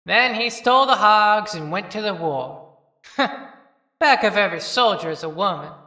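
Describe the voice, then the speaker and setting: A man's voice: high voice, animated, a little slow, sharp tone, distant mic, full character voice. A man voicing a brazen, unfaithful small-town young woman in a knowing audio-play monologue. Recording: long cavernous reverb.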